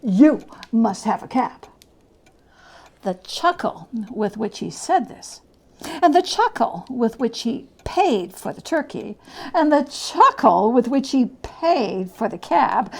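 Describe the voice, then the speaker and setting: Slow, highly expressive woman storyteller. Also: creaky. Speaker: narrator. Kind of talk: dramatic storybook reading.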